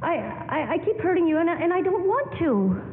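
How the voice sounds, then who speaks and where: A woman's voice: extremely high pitch, big pitch swings, fairly quick, slight background noise. An actress playing an earnest, sheltered, tender-hearted girl with a pleading, stammering manner in 1940s radio drama style. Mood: earnest, apologetic. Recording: echoey hall, thin lo-fi radio broadcast.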